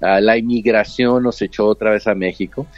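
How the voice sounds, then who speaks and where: A man's voice: on the low side, steady tone, normal speed, no echo. Earnest male nurse, English native with a Mexican accent, heard over a dull, dry remote line. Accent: Mexican Spanish. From United States, raised with Mexican family. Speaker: guest, nurse and author. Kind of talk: storytelling interview answer.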